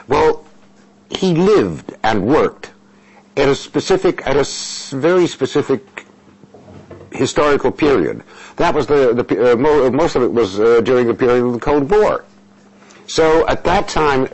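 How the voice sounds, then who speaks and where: Older male interviewee, Russian-born interpreter, middle-pitched, hesitant and measured, radio sound. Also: a little mellow, slightly rough, rough recording. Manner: halting, many fillers and restarts, measured. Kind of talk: interview answers.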